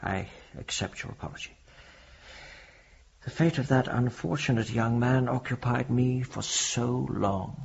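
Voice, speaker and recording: Very husky, solemn, fatherly reverend. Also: medium pitch, lo-fi sound. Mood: grave, gentle. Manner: solemn, deliberate, priestly. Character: earnest, devout, fatherly reverend, grave and compassionate. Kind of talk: radio drama dialogue.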